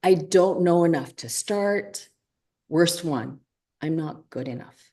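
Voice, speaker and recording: A woman co-hosting a live webinar, giving a motivational talk and slowly repeating key lines for emphasis. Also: deep voice, dark tone. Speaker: webinar co-host.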